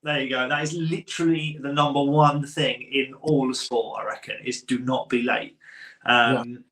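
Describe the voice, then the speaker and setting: A man's voice: medium pitch, level tone, normal speed, a bit bright. A man co-hosting a sports podcast in loose, casual chat, laughing often. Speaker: podcast co-host. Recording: roomy echo.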